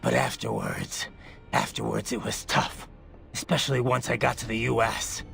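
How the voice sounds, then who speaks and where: A man voicing a brooding, bloodthirsty cyborg soldier in a video game, with a menacing gravelly growl and intense, clipped phrases. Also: lowish voice, wildly swooping pitch, normal speed, a bit bright, full character voice. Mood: troubled. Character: brooding, bloodthirsty male cyborg soldier, haunted former child soldier. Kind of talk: dramatic video game voice acting. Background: music.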